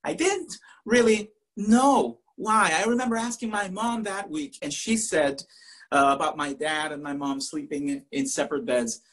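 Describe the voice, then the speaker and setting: Puerto Rican man, Spanish first language, earnest storyteller with pauses; slightly high, husky voice. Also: fairly bright tone. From Puerto Rico. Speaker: professor and poet. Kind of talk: storytelling talk to an audience.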